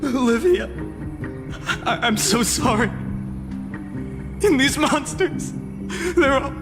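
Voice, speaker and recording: An actress playing a frightened, grief-stricken young villager, halting and pleading in a dramatic game scene. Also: female voice, high-pitched, expressive, full character voice. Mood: anguished, pleading. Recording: long reverb, music behind.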